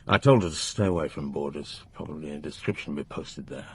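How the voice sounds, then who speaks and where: An actor in a radio drama playing a gruff, dejected married man protecting his lover, with a very husky voice. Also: very deep voice, fast, a little mellow, heavily acted. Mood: grave, resolute.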